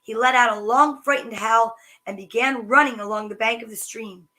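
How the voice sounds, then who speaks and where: A woman hosting a children's story time, reading picture books aloud with chatty asides in a sing-song, animated way. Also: on the high side, normal speed.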